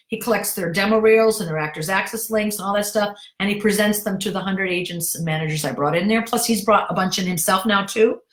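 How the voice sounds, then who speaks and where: A middle-aged woman acting coach answering viewer questions in a direct, brisk way. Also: medium pitch, roomy sound.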